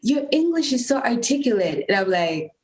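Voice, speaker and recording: A woman from Uganda, a panel guest in casual chat, telling stories slowly with a wry edge. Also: a little high, sing-song, very noisy.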